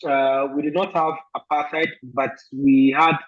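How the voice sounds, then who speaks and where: A man from Ghana, a guest answering interview questions in a thoughtful way, pausing often with fillers. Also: a little high, little pitch movement, lo-fi sound.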